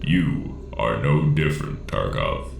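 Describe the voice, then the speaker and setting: A man playing an ancient, cryptic alien voice inside a soldier's head in a sci-fi audio drama, measured and commanding with an echoing effect. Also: very animated, a little hiss or hum, heavily acted. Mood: calm, knowing. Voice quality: very deep, gravelly. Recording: echoey, distant, music behind.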